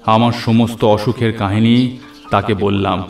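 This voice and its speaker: A man's voice: on the low side, fairly even intonation, unhurried, gravelly, far from the mic. Echoey man narrating a horror story. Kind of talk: scripted first-person horror story narration. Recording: echoey, off the mic, music behind.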